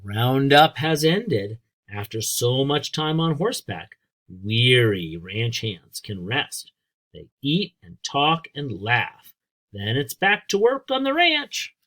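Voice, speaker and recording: An American man with a husky voice reading aloud as a teacher to children, explaining things simply and speaking directly to young listeners. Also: medium pitch, sing-song, slow pace, a bit bright. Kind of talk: read-aloud lesson with asides and questions.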